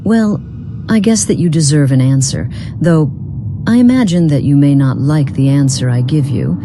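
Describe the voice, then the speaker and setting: A woman voicing an enigmatic, proud, long-lived part-human being in a fantasy game, speaking formally and deliberately in archaic phrasing. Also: extremely low pitch, noisy background, heavily acted. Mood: wry, inviting. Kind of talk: scripted fantasy game dialogue.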